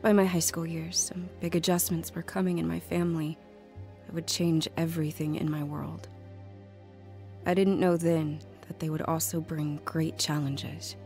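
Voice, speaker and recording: A woman's voice: deep voice, creaky, dark tone. An actress playing a lonely, anxious young woman narrating her life story in an audio drama. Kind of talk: first-person dramatic narration.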